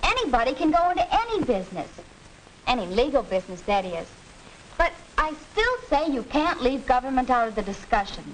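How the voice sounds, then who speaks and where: A young American woman playing an earnest, argumentative high school girl, emphatic and didactic, in a 1940s educational film. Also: very animated, a little fast, rough recording. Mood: explaining. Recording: hissy 1940s film soundtrack.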